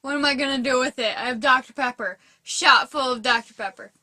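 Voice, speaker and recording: A woman's voice: high voice, fairly quick, bright, forceful tone. A young woman co-hosting a movie review, teasing her friend and laughing as she talks. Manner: teasing, laughs while talking. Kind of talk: casual chat.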